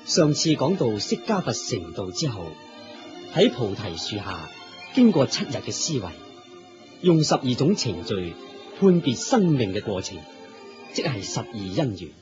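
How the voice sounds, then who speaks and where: A man with a Hong Kong accent reading scripted radio narration as a solemn, measured storyteller. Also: medium pitch, darkish tone. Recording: dull radio recording over music.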